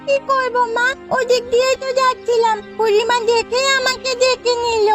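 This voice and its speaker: Very high-pitched little village girl. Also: little pitch movement, moderate pace, a bit bright, faint music behind. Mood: defensive. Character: excitable little village girl, tattling busybody. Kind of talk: animated folk tale cartoon acting.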